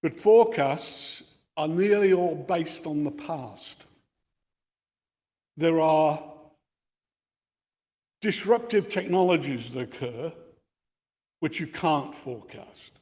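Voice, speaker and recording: A man's voice: a little high, animated, takes it slowly, long pauses, a little mellow. An older man with an Australian accent giving a technical lecture to radio enthusiasts. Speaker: amateur radio club speaker. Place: indoor meeting room with equipment on a bench. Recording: reverberant hall or meeting room.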